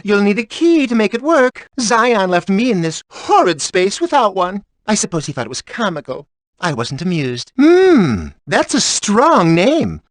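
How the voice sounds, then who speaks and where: A woman's voice: mid-range voice, dry recording. A haughty aristocratic lady from a video game, peevish and indignant. Her pompous delivery rises and falls dramatically in a dry, close recording. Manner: pompous, flowery wording. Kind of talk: theatrical video game voice acting.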